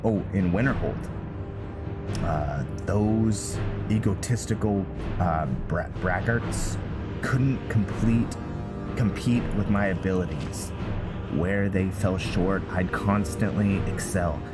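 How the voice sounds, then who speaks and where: A man acts out a megalomaniac alchemist from a fantasy game, bitter and vengeful. He gloats theatrically at a slow, halting pace over loud music. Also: deep voice. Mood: bitter boasting. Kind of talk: fantasy journal read aloud in character.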